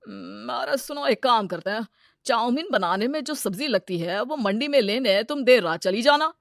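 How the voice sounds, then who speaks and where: A woman plays a greedy village moneylender from a moral cartoon tale. He is brash, scheming and gloating, in a fairly high voice with a very dry sound. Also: expressive, moderate pace, creaky, dry recording. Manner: brash, exclaiming. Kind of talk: animated moral-story character dialogue.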